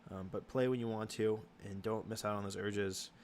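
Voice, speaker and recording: A young man hosting a casual livestream Q and A, answering chat questions in a halting way with many ums and restarts. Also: on the low side, fairly even intonation, slight background noise.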